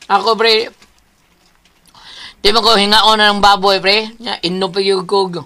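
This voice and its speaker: A man's voice: high voice, little pitch movement, a little slow, bright, forceful tone, heavily acted. A man playing a cocky drinking buddy in a comedy drama, boastful and repetitive, with a Cebuano accent. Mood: teasing.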